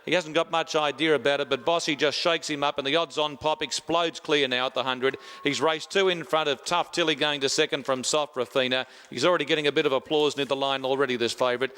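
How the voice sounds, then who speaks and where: A man's voice: on the high side, steady tone, fast talker, hardly any pauses, bright, forceful tone, clear, resonant tone. An Australian man calling a horse race in live commentary. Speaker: race caller. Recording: reverberant racecourse call.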